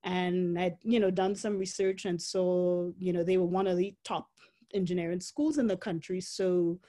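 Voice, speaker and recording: A woman's voice: on the low side, fairly even intonation, normal speed, dark tone. A woman in her forties from Trinidad, with a Trinidadian accent, telling her story as an interview guest, reflective and laughing often between fillers.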